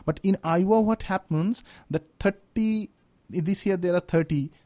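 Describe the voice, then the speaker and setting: A man's voice: high voice, measured pace, dark tone. A man in his thirties whose first language is Bengali, a guest in a radio studio interview, speaking reflectively and searching for words as he goes. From India. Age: 30s. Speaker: guest writer.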